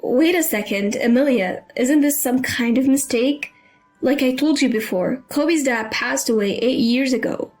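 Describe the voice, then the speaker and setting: Lady narrator doing a worried mom in a story, earnest and questioning, highish voice. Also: unhurried, darkish tone, soft background music. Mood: confused. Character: worried mother, puzzled and probing. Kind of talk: dramatised story dialogue.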